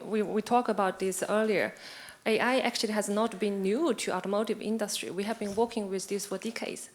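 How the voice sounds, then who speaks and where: A woman's voice: mid-range voice, a little hiss or hum. A woman on a panel, explaining steadily. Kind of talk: panel discussion.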